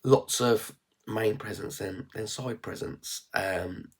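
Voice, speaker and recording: Man, 30s, West Midlands accent, congested and nasal from a cold, low gravelly voice, frank rambling vlogger. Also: slow pace. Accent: West Midlands English. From England. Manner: rambling, frank, with fillers and restarts. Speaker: frugal living vlogger. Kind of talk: casual reflective vlog.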